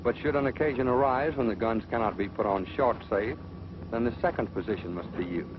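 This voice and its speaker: An American man narrating a 1940s instructional film in a measured, authoritative, dryly sardonic manner. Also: mid-range voice, background noise. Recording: dull old film soundtrack, music behind.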